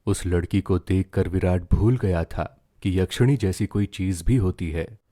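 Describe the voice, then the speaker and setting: Mellow, low-voiced male storyteller. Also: measured pace, dry recording, clean studio sound. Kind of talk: dramatic scripted story narration.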